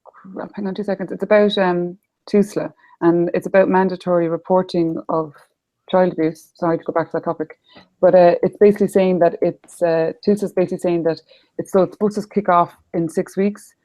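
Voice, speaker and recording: A woman's voice: fairly low pitch, little pitch movement, fairly quick, warm tone, rough recording. An Irish woman on a chat panel, hesitant, with many ums as she talks. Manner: hesitant, lots of ums while finding a story. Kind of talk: casual panel discussion.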